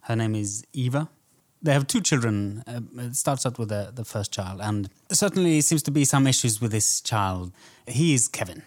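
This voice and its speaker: A man's voice: mid-range voice, expressive, normal speed, a little mellow. A man co-hosting a podcast, chatting casually and appreciatively about film in loosely phrased sentences. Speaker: podcast co-host.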